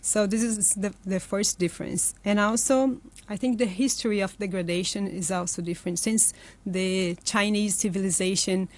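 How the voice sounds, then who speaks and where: A woman's voice: mid-range voice, fairly even intonation, moderate pace, few pauses. Brazilian woman explaining forests, hesitant. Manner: thoughtful, many fillers and restarts. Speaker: forest restoration guest. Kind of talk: conversational interview answers.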